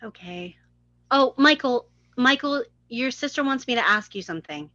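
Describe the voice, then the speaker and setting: A woman's voice: a little high, expressive, moderate pace, bright, forceful tone, no room sound, dull audio. A woman reading stage directions and lines aloud in a script table read. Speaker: script reader.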